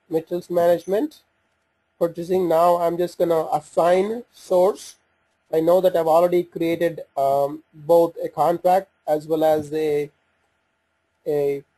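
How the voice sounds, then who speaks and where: A man with a slightly husky voice giving an unscripted software walkthrough, hesitant and thinking aloud as he goes. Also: a little high, rough recording. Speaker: software demonstrator. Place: voice-over on a screen recording.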